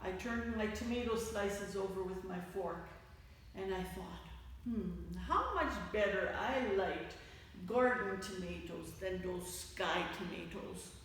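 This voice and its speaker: A woman reads a children's story aloud at a slow, careful pace, stressing key words expressively. She sounds set back from the microphone in a very echoey hall, and her voice sits at a middle pitch. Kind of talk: read-aloud picture book storytelling for children. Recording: echoey hall, distant mic, faint noise.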